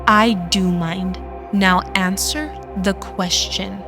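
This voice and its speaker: A woman's voice: medium pitch, fairly even intonation, slow. Woman acting a curt, distrustful android woman. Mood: cold, irritated. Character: curt, distrustful synthetic woman seeking freedom. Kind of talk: radio drama acting. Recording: faint music under the voice.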